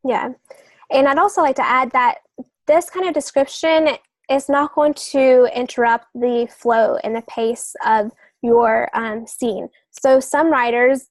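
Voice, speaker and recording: A woman explaining things as a podcast guest, working through points with examples and pausing to rephrase as she goes. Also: a little high, dry recording. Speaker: podcast guest novelist giving writing advice.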